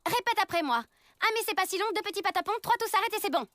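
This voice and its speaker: High, bright, sassy cartoon fairy. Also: female voice, lively intonation, rapid-fire, dry recording. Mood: bossy. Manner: sassy, blunt, flippant. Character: small sassy female fairy student, blunt and scatterbrained. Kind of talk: animated comedy dubbing. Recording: faint music behind the voice.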